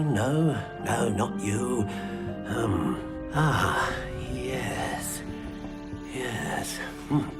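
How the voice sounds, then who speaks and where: A fussy old-fashioned game wandmaker, kindly and distracted. He speaks slowly with very animated swings in pitch, muttering between phrases, over prominent music. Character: fussy, absent-minded, kindly male wandmaker shopkeeper. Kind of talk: video game character acting. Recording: music behind the voice.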